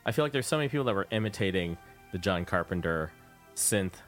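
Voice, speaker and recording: Low-voiced middle-aged man hosting casually. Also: animated, fast, soft background music. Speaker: radio show host, interviewer. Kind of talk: casual conversational interview chat.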